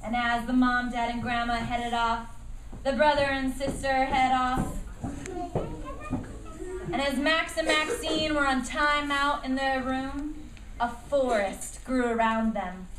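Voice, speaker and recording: A woman's voice: high-pitched, steady tone, takes it slowly, fairly bright tone. A woman narrating a live puppet show for children, animatedly coaching and prompting them as she tells the story. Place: echoey room at a school puppet show. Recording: echoey, distant mic, noisy room.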